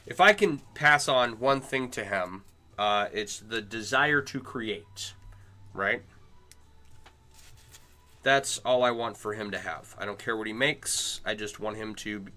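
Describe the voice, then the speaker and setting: Man, middle-aged, bright clear voice, casual livestream talk, greets viewers as he works. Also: lowish voice. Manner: chatty, greets and answers viewers while working. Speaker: chainmail maker streaming live.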